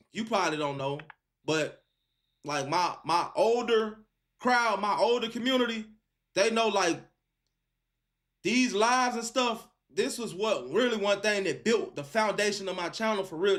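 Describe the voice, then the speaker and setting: Male live-stream host, high register, casual and encouraging, heavy slang. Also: fairly bright tone. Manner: encouraging, slangy, repeats phrases for emphasis. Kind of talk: casual chat with a caller.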